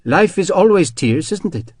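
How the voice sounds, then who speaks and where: A man voicing a shaken, sorrowful Frenchwoman with a French accent in an audiobook, speaking in short, halting phrases. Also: high voice, lively intonation, darkish tone, no room sound, studio quality, voice pushed up. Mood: tearful. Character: shaken, tearful, bitter young Frenchwoman.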